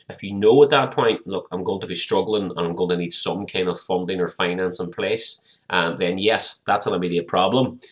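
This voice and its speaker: Deep-voiced, slightly hoarse British man explaining. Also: a bit bright. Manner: conversational, explanatory, addresses viewer directly. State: hoarse, croaky from a viral infection. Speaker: small business accountant presenting advice. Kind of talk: informal video explainer.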